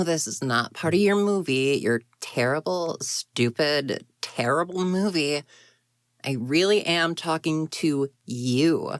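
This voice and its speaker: A woman's voice: low-pitched, no room sound, clean studio sound, heavily acted. A woman playing an exasperated ghost girl in an audio roleplay, huffy and indignant, often muttering to herself. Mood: indignant scolding. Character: grumpy, exasperated tsundere ghost girl haunting a house.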